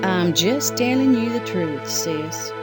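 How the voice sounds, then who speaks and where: A woman's voice: lowish voice, lively intonation, slow pace, over loud music. An actress playing a stern, controlling older sister and businesswoman in an audio drama, cool and cutting. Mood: cool contempt.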